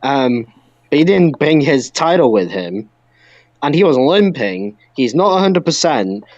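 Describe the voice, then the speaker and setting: A man's voice: medium pitch, big pitch swings, unhurried, darkish tone, no echo, lo-fi sound. A man co-hosting a wrestling podcast, talking in an emphatic, opinionated, ranting way. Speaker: podcast co-host.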